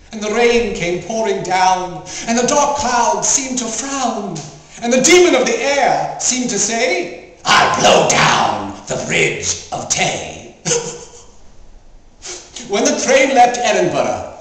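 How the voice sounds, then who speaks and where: A man performing a theatrical poetry recital in a grandiose, declamatory, mock-solemn manner. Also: high-pitched. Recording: very echoey, distant mic, faint noise.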